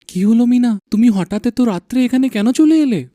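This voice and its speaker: A man playing an anxious, caring village husband in a dramatised animated horror folk tale, with earnest delivery. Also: very high-pitched, moderate pace, no room sound, clean studio sound. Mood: alarmed.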